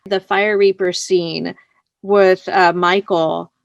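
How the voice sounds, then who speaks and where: A woman chatting casually as an interviewed writer, with an animated, easygoing conversational way of talking. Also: fairly low pitch, takes it slowly, gravelly, no room sound, lo-fi sound.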